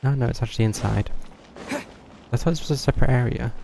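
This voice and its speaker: A man's voice: medium pitch, steady tone, quick pace, gravelly, dark tone, slight background noise. A man casually commentating on his live gameplay, thinking aloud in a musing, rambling way. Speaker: video game streamer.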